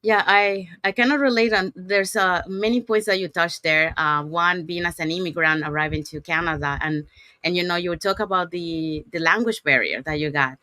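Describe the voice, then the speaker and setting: A woman's voice: on the low side, steady tone, normal speed, sharp tone, no room sound. A woman from Panama, a native Spanish speaker with a Panamanian accent, talking casually as the host of an interview. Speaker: show host.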